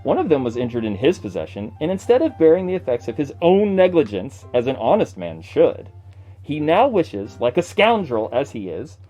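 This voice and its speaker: A man's voice: medium pitch, big pitch swings, moderate pace, dark tone, soft background music, poor audio. Man acting an indignant horse seller, wildly swooping delivery. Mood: scornful, indignant. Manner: theatrical, declamatory, scornful. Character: indignant nineteenth-century horse seller hurling accusations of fraud. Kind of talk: dramatic reading of old newspaper notices.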